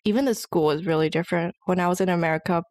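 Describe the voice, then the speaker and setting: A woman's voice: fairly low pitch, racing, darkish tone. Young woman telling stories, chatty. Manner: chatty storyteller, many "like" fillers. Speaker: podcast co-host. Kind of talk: casual chat.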